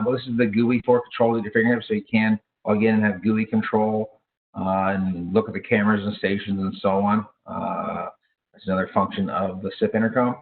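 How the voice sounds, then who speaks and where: A man giving informal product training in a halting way, with frequent hesitations and self-corrections. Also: lowish voice. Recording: thin, phone-like narrow-band audio.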